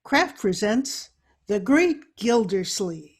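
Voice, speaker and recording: Husky woman announcing a radio show. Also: medium pitch, big pitch swings, takes it slowly. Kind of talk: scripted old-time radio announcing.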